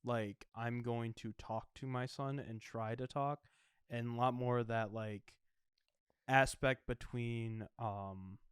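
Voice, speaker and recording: A man hosting a film podcast, chatting casually in a halting, rambling way. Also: medium pitch, steady tone, clean tone, dry recording, clean studio sound.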